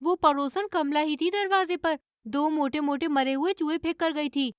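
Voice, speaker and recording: A woman playing an evasive, stubborn young daughter-in-law who acts innocent in an animated moral story. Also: high-pitched, moderate pace, no room sound, clean studio sound, natural voice. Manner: defensive, feigning innocence.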